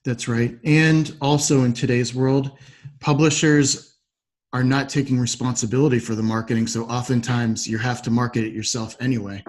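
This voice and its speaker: A man's voice: medium pitch, steady tone, moderate pace, darkish tone. A man hosting a podcast, interviewing his guest in a conversational, supportive way. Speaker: podcast host.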